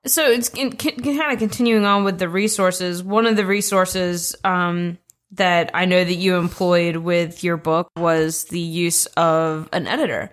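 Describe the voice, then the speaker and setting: A woman's voice: lowish voice, steady tone, measured pace. A woman co-hosting a radio interview, a publisher, talking in a chatty way with many fillers. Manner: chatty, conversational, with many "you know"s. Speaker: co-host, publisher.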